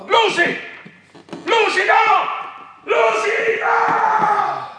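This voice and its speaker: A man's voice: very high-pitched, steady tone, very slow, vocal fry, roomy sound, shouting, over a noisy crowd, heavily acted. An actor playing a terrified, grief-stricken old man in a radio drama, desperate, with threats and broken laments. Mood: anguished. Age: older.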